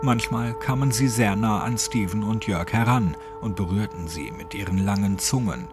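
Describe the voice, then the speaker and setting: Low-voiced man narrating horror. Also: normal speed. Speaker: audiobook narrator. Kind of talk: scripted horror story narration. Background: faint music.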